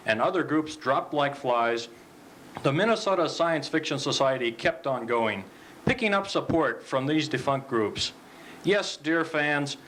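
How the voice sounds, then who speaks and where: A man playing a folksy old-time pitchman, delivering a parody commercial with chummy salesman patter. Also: fairly bright tone, poor audio. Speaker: advertisement spokesman. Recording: faint noise, amateur 1970s recording.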